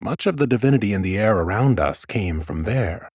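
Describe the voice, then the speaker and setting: Low-voiced male fantasy narrator, expressive. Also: a little fast, husky, dry recording, clean studio sound. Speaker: audiobook narrator. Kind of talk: scripted first-person fantasy narration. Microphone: close studio microphone.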